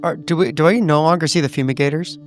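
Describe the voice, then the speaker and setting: Male player, higher pitch, hesitant, with faint music under the voice. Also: quick pace. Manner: hesitant, describing his character's actions.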